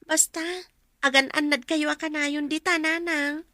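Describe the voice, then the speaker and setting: An actress playing a gentle, dutiful young student daughter in an Ilocano radio drama. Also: female voice, sits high, steady tone, a little slow, no room sound, studio quality. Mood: affectionate.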